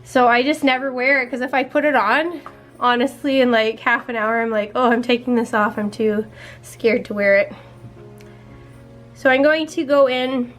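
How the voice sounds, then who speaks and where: A young woman chatting casually while doing her makeup, her voice a little raspy, walking through each step in a relaxed way. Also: fairly high pitch. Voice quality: slightly raspy. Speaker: beauty vlogger.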